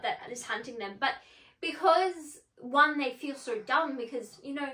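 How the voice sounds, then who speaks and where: A woman's voice: high-pitched, unhurried, bright, forceful tone. A woman co-hosting a casual film review show, chatting informally with exasperated emphasis. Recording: echoey room, distant from the mic.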